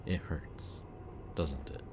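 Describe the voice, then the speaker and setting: A man playing a bitter, remorseful villain in an audio roleplay, confessing in a low, intimate voice that sometimes drops to a whisper. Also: takes it slowly, lightly acted. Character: remorseful bitter male villain, young adult. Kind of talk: audio roleplay addressed to the listener. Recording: steady ambient background noise.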